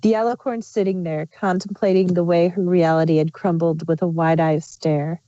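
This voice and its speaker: A woman's voice: fairly low pitch, steady tone, normal speed, warm tone. A woman narrating fan fiction as an amateur story reader. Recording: muffled, roomy home recording.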